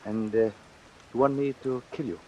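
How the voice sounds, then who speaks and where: A man's voice: mid-range voice, steady tone, a little slow, a little mellow, lightly acted, poor audio. A man playing an unhinged killer in an old radio thriller. He talks casually, with an amused, gleeful menace, on a vintage recording with faint hiss. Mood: wary. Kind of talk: vintage suspense radio drama acting.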